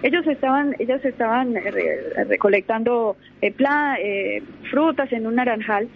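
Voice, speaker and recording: A woman's voice: a little high, a little slow, gravelly. A young Colombian woman, a lawyer, talking in an assertive, self-assured way as a guest on a radio interview, sometimes repeating words while she gathers her thoughts. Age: young adult. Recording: muffled radio audio with faint noise.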